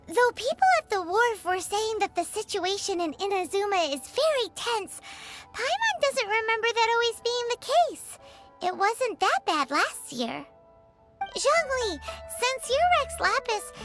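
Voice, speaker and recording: A woman voicing a tiny, cheeky, childlike fairy companion in a game, squeaky and chirpy with a blunt way of talking. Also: big pitch swings, measured pace, full character voice. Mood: curious. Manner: chirpy, blunt, speaks of herself in third person. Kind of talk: scripted game cutscene voice acting. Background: prominent game music.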